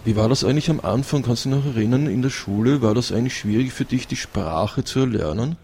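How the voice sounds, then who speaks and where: Radio host, male, middle pitch, friendly and prompting, light hiss and clicks on the line. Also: quick pace, a little mellow. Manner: friendly, prompting questions with fillers. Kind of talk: live community radio chat.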